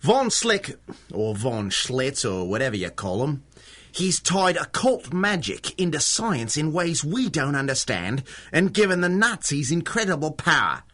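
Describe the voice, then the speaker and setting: An actor playing a brash, frantic, wisecracking wartime hero in a 1930s-style radio adventure. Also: male voice, on the high side, big pitch swings, a little slow, fairly bright tone, full character voice. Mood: grim, urgent. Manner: wisecracking, slangy, sarcastic.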